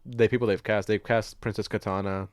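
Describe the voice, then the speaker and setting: A man's voice: on the low side, flat delivery, a little fast, dry recording, clean studio sound. A young adult man co-hosting a podcast in casual movie chat, rambling, slangy and profane with a jokey manner. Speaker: podcast co-host.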